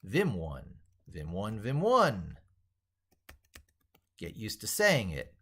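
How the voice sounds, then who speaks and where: A man with a fairly low voice talks through an opinionated tech monologue as an educator. He states things emphatically, then repeats and reworks the wording as if composing it out loud. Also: huge pitch swings, slow pace, breathy edge. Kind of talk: drafting and reading aloud an opinionated monologue while typing.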